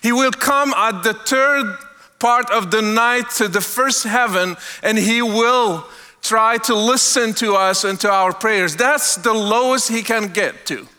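A high-voiced middle-aged man delivers an earnest sermon. His tone is bright and forward, and he lingers on key words for emphasis. A long reverb trails his voice across a large hall. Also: a little slow. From Morocco. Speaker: guest speaker. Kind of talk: testimony sermon.

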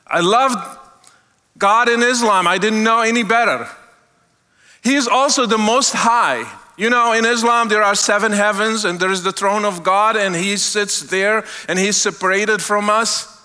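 A middle-aged man from Morocco, sharing an earnest testimony sermon as a guest speaker, slowing down to stress key words. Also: sits high, sharp tone. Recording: long hall reverb.

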